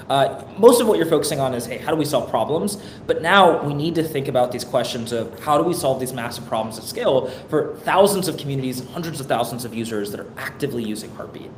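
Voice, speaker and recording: A man hosting a live product town hall in a loose, chatty way, getting over a cold. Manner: loose and chatty, many fillers and restarts. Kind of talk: casual webinar presentation. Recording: echoey room with faint rumble.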